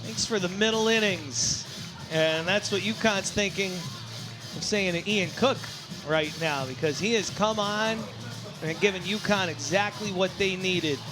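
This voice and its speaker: A man with a very high-pitched voice works as a baseball play-by-play announcer. His live commentary is excitable and can rise to shouted calls on big plays. Music is heard behind him. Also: unhurried, low-quality recording. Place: sports broadcast at a baseball game.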